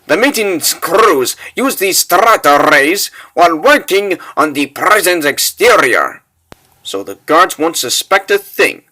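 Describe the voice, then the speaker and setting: A bookish, courteous plotter lays out a scheme in grand, formal words for a comic dub , acted by a man. The voice sits fairly high and bright, and moves slowly with lively swings in pitch. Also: full character voice. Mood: assured. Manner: formal, theatrical, over-articulate. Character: polite, scholarly male planner of a prison break, long-winded. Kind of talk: comic book dub, dramatic character voices.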